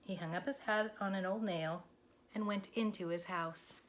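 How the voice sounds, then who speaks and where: A woman narrating a children's storybook aloud in a deliberate, expressive school read-aloud style. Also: medium pitch, a little hiss or hum. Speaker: elementary school teacher reading aloud.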